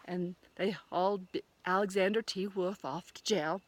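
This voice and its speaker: A woman telling a fairy tale in an animated, conversational storytelling manner. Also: on the low side, moderate pace, no echo. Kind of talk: oral fairy tale retelling.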